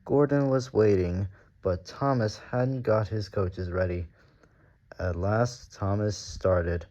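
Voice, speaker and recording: A man's voice: lowish voice, takes it slowly, mellow. A man narrating a children's storybook aloud as the story reader.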